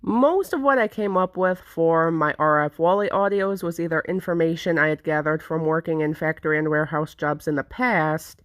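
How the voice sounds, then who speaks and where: A woman narrating a script to viewers in a conversational, theatrical way with deliberate emphasis. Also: low-pitched, a bit bright, no echo, studio quality. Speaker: narrator presenting own fan project.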